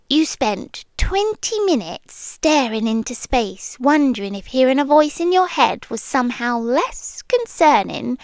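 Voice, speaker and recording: A British woman playing an obsessive, possessive girlfriend in an intimate ASMR roleplay, softly menacing and teasing, sometimes slipping into a whisper. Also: high voice, dry recording, exaggerated voice. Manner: slow, teasing, softly menacing, with lingering pauses. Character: obsessive possessive yandere girlfriend, a menacingly affectionate voice in your head. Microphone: close microphone, dry sound.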